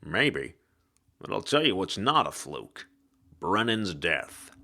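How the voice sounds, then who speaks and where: A hard-boiled dead private eye , played by a male reader, speaks in a low, slangy drawl. He is self-assured and sardonic, needling his listener in 1940s detective style, with big swings in his delivery for a mystery audiobook. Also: big pitch swings, slow, creaky, bright, forceful tone, heavily acted. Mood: sardonic, blunt.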